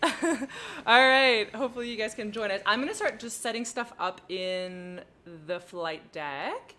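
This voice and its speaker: Bright-voiced chatty French Canadian woman. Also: on the high side, measured pace. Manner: chatty, giggly, explains her cockpit steps aloud. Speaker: flight sim livestream host. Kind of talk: casual livestream chat while flying.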